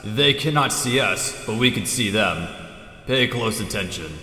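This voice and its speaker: A man playing a gruff, stern echidna ghost in an audio drama, speaking in a curt, solemn, dryly sardonic manner. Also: lowish voice, expressive, measured pace, pushed and bright. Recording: echoing ghostly reverb, music behind.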